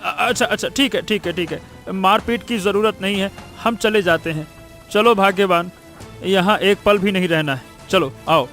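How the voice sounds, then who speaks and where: A man's voice: high-pitched, moderate pace, fairly bright tone, faint music behind. A man voicing a poor, protective village father in a Hindi cartoon story, earnest and often repeating himself. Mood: wounded, resolute.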